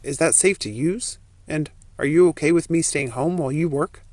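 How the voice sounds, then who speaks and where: Storybook young husband, played by a woman narrator: pitched down, practical and guarded, close dry sound. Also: normal speed, a little mellow, dry recording. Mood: cautious, businesslike. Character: cautious, practical young man posing as a husband.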